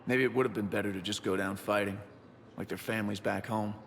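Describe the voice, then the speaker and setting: An actor playing a grieving, loyal military pilot in a sci-fi game, reflective, subdued and sincere. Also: male voice, lowish voice, fairly even intonation, fast talker, long reverb tail, a little hiss or hum, natural voice. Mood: wistful. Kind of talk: video game voice acting.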